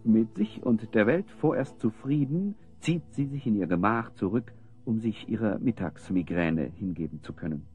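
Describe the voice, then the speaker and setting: A male narrator tells an audio play story. His voice is on the low side and very animated, with theatrical scripted phrasing over faint music. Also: normal speed, narrow, tinny audio.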